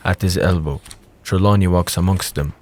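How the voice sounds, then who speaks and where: Deep-voiced male audio drama narrator. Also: normal speed, darkish tone. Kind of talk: scripted audio drama narration, reading stage directions.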